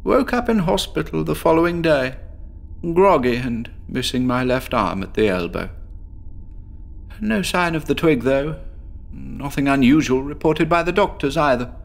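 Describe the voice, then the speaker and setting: Frantic asylum patient: stammers, gravelly, pitch all over the place. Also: male voice, lively intonation, a little slow. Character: haunted male patient recounting horror, frantic. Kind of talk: audio drama monologue.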